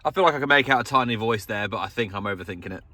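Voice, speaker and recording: A man's voice: lowish voice, very fast, background noise. A man with a British accent chatting casually to camera with wry, understated humour, as a radio hobbyist vlogger. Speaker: radio hobbyist vlog host. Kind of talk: casual vlog chat to camera. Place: inside a parked pickup truck.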